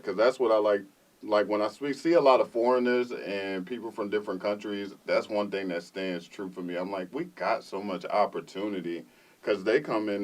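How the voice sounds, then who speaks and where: A man's voice: fairly low pitch, distant mic. A man co-hosting a podcast in casual chat, joking and laughing often. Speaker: podcast co-host.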